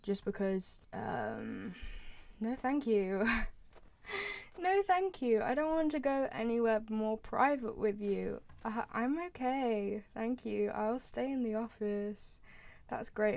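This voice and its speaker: A woman's voice: a little high, a little slow, vocal fry, a little mellow, no echo. A young woman giving casual, opinionated fan commentary on a game, chatty and repeating phrases for emphasis. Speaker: video creator, game fan.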